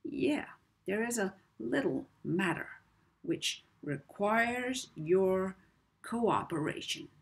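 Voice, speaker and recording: A woman voicing a sinister, manipulative male blackmailer in a children's audiobook, soft-spoken and lazy, with deliberate pauses. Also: mid-range voice, lively intonation, vocal fry, breathy edge, pitched above the performer's own voice, full character voice. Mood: sly, amused.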